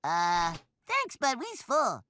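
A man's voice: extremely high pitch, huge pitch swings, takes it slowly, over music, heavily acted. A man voicing a lazy, whiny teenage shapeshifter in a cartoon, with slangy, childlike grammar. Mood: content. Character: lazy, whiny green shapeshifting teen boy. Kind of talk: cartoon voice acting.

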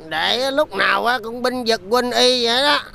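An actor playing a fawning, tipsy drinking buddy who flatters and coaxes in a folk-tale comedy film. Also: male voice, extremely high pitch, moderate pace, gravelly, exaggerated voice. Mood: flattering, consoling. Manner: flattering, coaxing drinker's talk.

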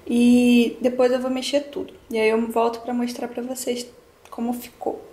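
A woman's voice: a little high, flat delivery, moderate pace, warm tone, roomy sound. A young woman in her twenties from Brazil, chatting through a cooking tutorial in her home kitchen and explaining each step to her viewers. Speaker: cooking vlogger.

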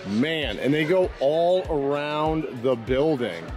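A man with a Maine accent talks to the camera outdoors, rambling casually with lots of fillers. His voice sits a bit high for a man, and soft music plays underneath. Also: animated, slow. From Maine, USA. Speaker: vlog host. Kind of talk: casual outdoor vlog chat. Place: outdoors in a town.

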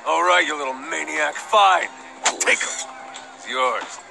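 An actor voicing a gruff, greedy market butcher in a video game, with a gravelly voice and a condescending, haggling manner. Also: male voice, fairly high pitch, lively intonation, measured pace, background noise, full character voice. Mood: grudging. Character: gruff, greedy male pork butcher running a market stall. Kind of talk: animated video game acting.

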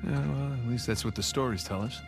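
A man's voice: mid-range voice, fairly quick, over music, natural voice. An actor playing a wry, joking male treasure hunter in a video game cutscene, trading dry banter.

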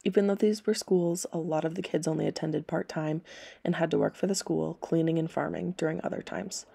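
A woman reading aloud scripted story and history narration for listeners in a measured, plain way. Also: deep voice, gravelly, dark tone, slightly rough. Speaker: storybook reader and history presenter.

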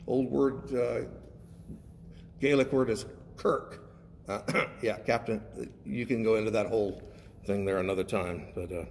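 A man's voice: mid-range voice, a little mellow. A man preaching a sermon as a guest pastor, reading scripture and explaining it in a conversational, folksy manner with frequent check-ins to his listeners. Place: large echoey room, preaching to a congregation. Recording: echoey hall with faint background noise.

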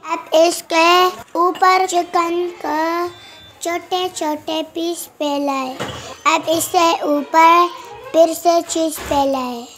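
A woman's voice: very high-pitched, steady tone, fairly bright tone, a little hiss or hum. A young girl hosting a home cooking video, giving step-by-step recipe instructions and stumbling over words now and then. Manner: halting, repeats words when stuck. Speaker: cooking show host.

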